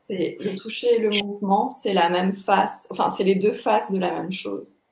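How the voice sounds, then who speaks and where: A young woman explaining her practice as a guest teacher, thoughtful and halting as she searches for words. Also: mid-range voice, creaky, darkish tone. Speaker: body-movement teacher, interview guest. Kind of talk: explanatory interview talk. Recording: echoey, roomy sound.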